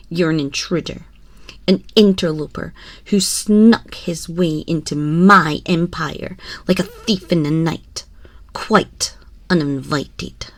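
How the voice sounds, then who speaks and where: Woman acting a slow-spoken, haughty, cruel empress. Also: on the low side, no echo. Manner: imperious, condescending, theatrical formal diction. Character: haughty, cruel, mocking empress toying with a prisoner. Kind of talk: ASMR roleplay acting. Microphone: close binaural microphone, dry.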